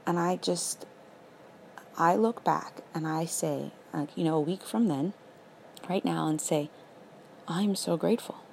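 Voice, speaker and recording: A raspy young woman hosting a casual faith podcast, earnest and encouraging, full of "like" and "literally". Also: fairly low pitch, unhurried, long pauses, a little mellow, slight background noise, poor audio. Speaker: podcast host.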